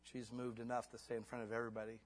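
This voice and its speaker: A man in his fifties preaching a sermon in church. He swings between hushed, intimate lines and fervent, rising exhortation, then closes in prayer. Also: mid-range voice, monotone, very fast. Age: 50s. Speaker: pastor.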